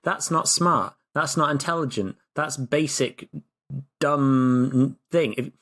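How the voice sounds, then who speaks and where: A man co-hosting a podcast in loose, sweary chat. He rants with dry sarcasm, often tripping over his words and restarting his sentences. His voice sits in an ordinary middle pitch. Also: slow pace, fairly bright tone. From Britain. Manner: sarcastic, ranting, stammering restarts. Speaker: podcast co-host. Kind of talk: casual chat.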